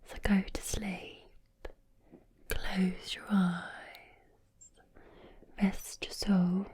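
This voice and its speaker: Woman putting on a slow, whispered Brummie accent. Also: mid-range voice, flat delivery, a little mellow. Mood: calm, soothing. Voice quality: breathy whisper. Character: calm, soothing Brummie woman lulling someone to sleep.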